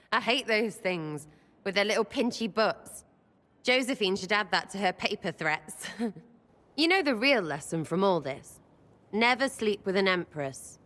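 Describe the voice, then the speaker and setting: Brash, cheeky British rogue. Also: female voice, medium pitch, expressive, slow pace, fairly bright tone. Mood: cheeky, dismissive. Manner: cheeky, blunt, colloquial. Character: brash, irreverent young female archer rogue. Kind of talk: dramatic video game dialogue. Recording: reverberant room.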